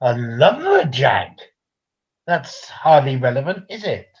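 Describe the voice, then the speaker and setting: Sarcastic, exasperated customer for radio sketch, played by a man. Measured, clipped, muffled recording. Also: mid-range voice, wildly swooping pitch, darkish tone, full character voice. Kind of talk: British radio comedy sketch acting. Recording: dull lo-fi recording.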